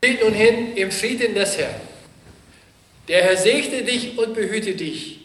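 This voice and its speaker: A male pastor, slightly under the weather with a cold, speaks to his congregation in an easy, joking way before giving the blessing. His voice sits a little high for a man. It rings through a large church with long, roomy reverb. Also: slow pace, slight background noise. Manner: relaxed, joking announcements. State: has a cold. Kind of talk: church announcements and benediction.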